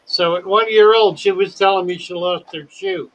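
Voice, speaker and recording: An older man hosting a casual livestream chat, talking in a slow, wordy and deliberate way and telling stories. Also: high-pitched, fairly even intonation, a little hiss or hum, poor audio. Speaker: livestream host.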